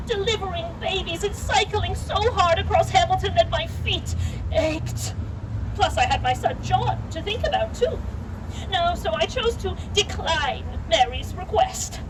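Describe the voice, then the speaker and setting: A woman playing an elderly, wry and proud lady doctor in a theatrical puppet-show monologue, chatting to the audience with rhetorical asides. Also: very high-pitched. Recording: distant mic, loud outdoor street noise.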